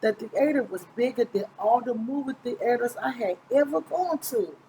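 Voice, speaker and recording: A woman's voice: on the high side, animated, moderate pace, mellow, slight background noise, rough recording. A middle-aged woman reads a children's picture book aloud informally, stumbling now and then and correcting herself. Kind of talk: storybook read-aloud narration. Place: small indoor room, seated close to the camera.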